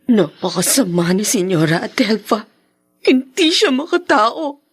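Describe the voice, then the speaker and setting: An actress playing a poor young maid in a radio drama, heartbroken and bitter, with emotional delivery. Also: female voice, mid-range voice, sing-song, a little slow, breathy edge, no room sound, studio quality, exaggerated voice. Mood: bitter, resolute. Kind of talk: Tagalog radio drama acting.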